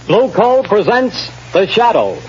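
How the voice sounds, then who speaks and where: High-pitched male vintage radio announcer. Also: wildly swooping pitch, measured pace. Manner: emphatic, formal. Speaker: sponsor announcer. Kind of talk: 1930s radio announcing, scripted advertisement. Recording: dull old radio broadcast, music behind.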